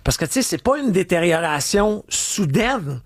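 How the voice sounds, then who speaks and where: A man's voice: high voice, sing-song, moderate pace, no echo, clean studio sound. A man in his fifties from south of Montreal with a Québécois French accent, telling stories in a rambling way on talk radio. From south shore of Montreal. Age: 50s. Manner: storytelling, rambling with fillers. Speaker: radio co-host. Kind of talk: casual talk radio chat.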